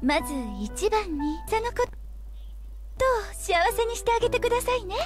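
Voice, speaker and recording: A gentle, cheerful anime mother with a very high, youthful voice. She speaks politely and quickly in visual novel style, with music clearly playing underneath. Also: animated. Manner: polite, soft-spoken formal speech. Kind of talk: Japanese visual novel voice acting. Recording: game dialogue over clear background music.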